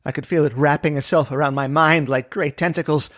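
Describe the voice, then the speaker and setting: A man's voice: on the high side, steady tone, fairly quick, a bit bright, no room sound, studio quality, close to an everyday voice. An actor playing a remorseful, haunted man of science with a grave, melodramatic manner in an old-time radio detective drama. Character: haunted, remorseful male scientist who faked his death.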